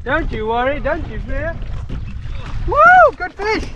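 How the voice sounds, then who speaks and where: Excitable young man whooping outdoors. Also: very high voice, slow. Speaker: fishing vlogger. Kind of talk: excited live commentary while fishing. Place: open water on a jet ski, outdoors. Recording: windy outdoor camera audio.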